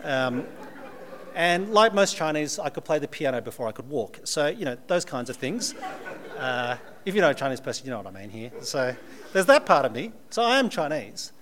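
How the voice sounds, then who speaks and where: A man's voice: a little high, very animated, moderate pace, fairly bright tone. A man with an Australian accent, preaching a sermon to a live audience in a conversational way, with dry humorous asides.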